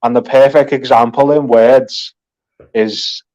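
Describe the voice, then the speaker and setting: A man's voice: mid-range voice, steady tone, slow, a little mellow, muffled recording. A Scouse man chatting casually with a mid-range voice. He rambles, restarts often and swears without a second thought. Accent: Liverpool (Scouse). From England. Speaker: guest. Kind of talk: casual chat.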